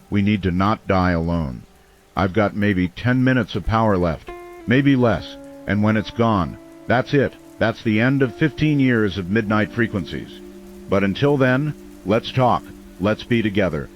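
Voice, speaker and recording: Weary male radio host played by an actor, low and somber, consoling, muffled broadcast sound with music underneath. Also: close to an everyday voice. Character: weary doomed male late-night radio host, steady and caring. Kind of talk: radio drama, late-night call-in show monologue.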